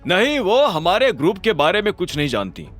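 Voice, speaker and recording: A man's voice: high-pitched, huge pitch swings, normal speed, fairly bright tone, soft background music, voice pushed up, exaggerated voice. A man playing an earnest company boss in a mafia group, reasoning things out emphatically in an audio drama. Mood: firm.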